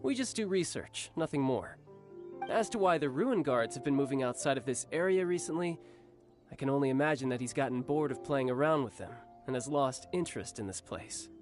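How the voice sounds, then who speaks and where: An actor playing a cocky young male warrior and doting older brother in a game cutscene, smooth and teasing. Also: medium pitch, animated, fairly quick, soft background music, natural voice.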